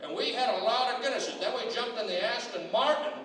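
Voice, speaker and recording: A man playing a loud, insistent father who lectures and tells tall tales. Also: very high-pitched, lively intonation, fairly quick, a little hiss or hum. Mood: loud lecturing. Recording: echoey hall from far off with loud crowd.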